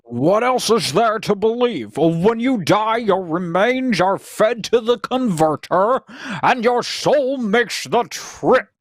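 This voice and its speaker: A woman's voice: medium pitch, expressive, takes it slowly, dry recording. A woman playing an anxious, hesitant young male scientist with orthodox beliefs in a radio sci-fi drama. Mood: earnest, hesitant. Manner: hesitant, incredulous, protesting. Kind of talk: cold-read old-time radio sci-fi drama.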